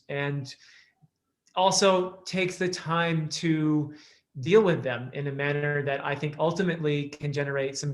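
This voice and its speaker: A man speaks as a guest in an informal discussion. His voice is a little higher than average. He offers his views in a reflective, measured way, with pauses partway through his sentences. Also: a bit bright.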